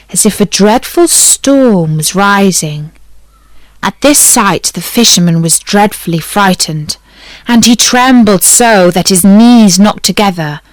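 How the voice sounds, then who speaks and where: A woman reading a fairy tale aloud in a measured storybook narration. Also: mid-range voice, no echo, poor audio.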